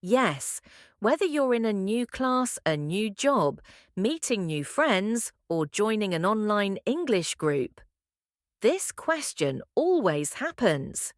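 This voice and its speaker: Female podcast teacher, clear friendly delivery, animated pitch, very unhurried. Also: dry recording, clean studio sound. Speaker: podcast co-host and English teacher. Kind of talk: scripted English lesson dialogue.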